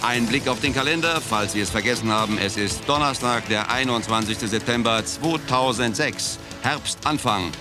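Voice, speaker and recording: An actor playing a slick American radio word-jockey who pitches donations and chats to listeners, in a radio play. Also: male voice, normal speed, hardly any pauses, over music, lightly acted. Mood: salesy. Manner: smooth salesy patter. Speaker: radio host.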